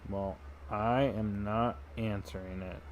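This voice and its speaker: A man's voice: lowish voice, fairly even intonation, takes it slowly, a little hiss or hum. A man giving casual, grumbling livestream commentary on a video game. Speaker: game streamer. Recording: game music behind the voice.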